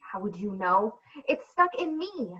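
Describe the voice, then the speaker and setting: A woman's voice: medium pitch, sing-song, a little slow, bright, forceful tone, slightly rough, voice pushed down, exaggerated voice. A woman playing an exhausted, frantic single mother in a comedic radio play, with melodramatic exasperation. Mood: pained, irritated. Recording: dull, distant mic.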